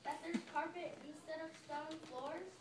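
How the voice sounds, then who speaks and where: Very high-voiced know-it-all contestant played by a female amateur. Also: fairly even intonation, unhurried. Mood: wry, exasperated. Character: Ryan, know-it-all male quiz contestant, matter-of-fact. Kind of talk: amateur readers' theater, scripted quiz show. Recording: echoey, noisy room, distant microphone.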